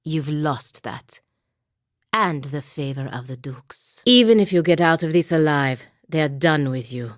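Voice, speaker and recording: A woman's voice: sits low, expressive, unhurried, no room sound. An actress playing a stern, dutiful and proud paladin woman in a video game, with a dry, sardonic and commanding manner. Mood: cold, stern. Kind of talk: video game character dialogue.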